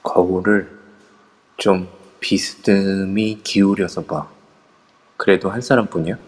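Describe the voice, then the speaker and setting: A man playing a slow-talking group leader. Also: low-pitched, steady tone. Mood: urgent. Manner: takes charge, urges the group on. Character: young man leading a ghost-hunting group. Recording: long echoey reverb.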